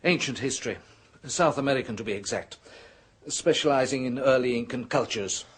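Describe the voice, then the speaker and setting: An actor playing a scholarly male history professor in a radio drama, hesitant at first and then rising to hushed intensity. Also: medium pitch, a little fast, a little hiss or hum, close to an everyday voice. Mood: earnest. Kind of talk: 1970s radio drama acting.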